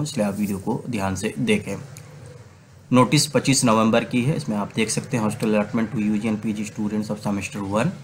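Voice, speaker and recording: A man hosting an update channel, narrating a screen-share walkthrough of an official notice in a steady explanatory way, reading figures out one by one. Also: lowish voice, slight background noise. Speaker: channel host giving admission updates. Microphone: voice-over recorded apart from screen capture.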